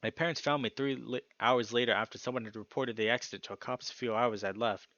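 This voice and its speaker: A man's voice: medium pitch, fairly even intonation, quick pace, fairly bright tone, no room sound, lo-fi sound, natural voice. A man voicing a paranoid, guilt-ridden young male animal character, reading visual novel narration in a troubled, confessional way. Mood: troubled, subdued. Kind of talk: visual novel text read dramatically. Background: guitar music.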